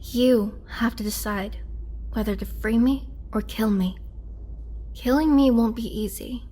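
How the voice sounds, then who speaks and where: A frail vampire prisoner, performed by a woman, speaks in a fairly high, soft voice. She takes her time, halting between words as if drained of strength. Her tone is gentle, courteous and earnestly pleading, in the close style of a roleplay audio drama. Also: breathy edge. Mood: weary bargaining. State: weak, drained. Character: weakened imprisoned female vampire, gentle, polite, pleading. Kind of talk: girlfriend roleplay audio drama, intimate acting.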